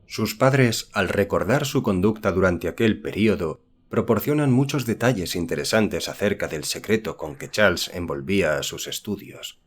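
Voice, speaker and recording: A man reading a horror novel as an audiobook narrator, in a measured, formal storytelling manner. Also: deep voice, expressive, slightly rough.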